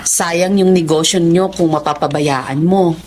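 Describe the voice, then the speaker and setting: A woman's voice: fairly low pitch, steady tone, unhurried, noisy background, close to an everyday voice. A woman voicing a concerned male friend who chides and advises in a radio drama. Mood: chiding concern. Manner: advising, chiding.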